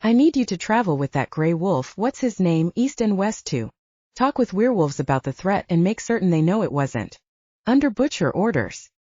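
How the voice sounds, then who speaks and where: A woman voicing a composed middle-aged father and leader, measured and authoritative, in an audiobook. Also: lowish voice, sing-song, warm tone, no room sound, dull audio. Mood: commanding. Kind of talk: audiobook character dialogue.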